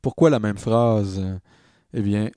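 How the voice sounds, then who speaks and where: A man with a Quebec French accent giving an informal software tutorial as a music production tutor, pausing hesitantly as he explains. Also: on the low side, no echo, studio quality.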